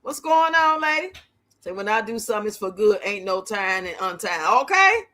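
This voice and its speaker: A woman's voice: medium pitch, sing-song, normal speed, sharp tone. A woman of fifty-nine hosting a casual livestream, chatting with viewers in a blunt, outspoken, colloquial way. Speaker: livestream host.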